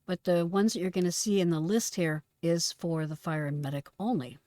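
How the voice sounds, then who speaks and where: Woman, lower register, dry close sound, conversational explainer walking listeners through procedures. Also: steady tone, normal speed, mellow, no room sound. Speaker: retired dispatcher presenting radio traffic. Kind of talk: casual explanatory commentary.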